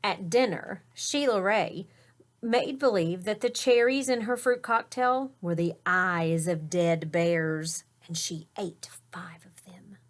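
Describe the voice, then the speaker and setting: A woman's voice: on the low side, slow. A woman teacher reading a picture book aloud to children, explaining words and pointing out the pictures, sometimes dropping to a whisper.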